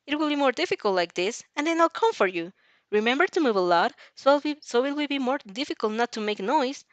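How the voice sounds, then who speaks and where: A woman voicing a bubbly, affectionate teenage girl in a fan story reading, gushing and chirping with excitement. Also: sits high, fairly quick, dry recording, pitched above the performer's own voice. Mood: cheerful, eager.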